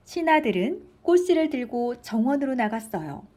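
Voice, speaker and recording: A woman narrating a children's story from a script, in an expressive storytelling manner. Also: high-pitched, moderate pace, a little hiss or hum.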